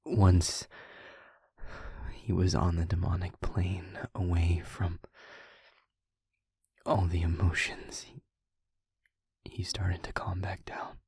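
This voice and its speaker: A man playing an exhausted, gentle protector who talks in a soft, breathy, slow whisper. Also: low voice, completely flat delivery, dry recording, clean studio sound, full character voice. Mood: tired. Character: weary, gentle male protector, calm. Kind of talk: audio roleplay acting.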